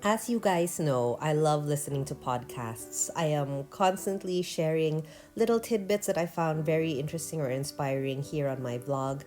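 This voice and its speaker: A woman's voice: low voice, normal speed, few pauses, dark tone, faint music behind. Stuffy-nosed woman narrating her vlog. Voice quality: nasal. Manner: chatty and earnest. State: stuffy nose from allergies. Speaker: vlogger and painter. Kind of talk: casual voiceover narration.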